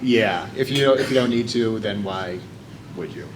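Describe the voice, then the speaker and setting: A man's voice: lowish voice, normal speed, noisy background. An American man speaking on a convention panel, talkative and explanatory, with frequent fillers. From United States.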